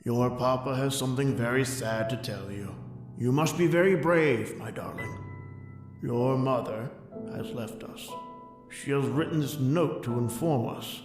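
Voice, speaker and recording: A man playing a grave, tender but possessive father in an old-time radio drama, speaking in a hushed, solemn way. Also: medium pitch, a little slow, echoey room, in a large hall, music in the background, natural voice. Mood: sorrowful, tender.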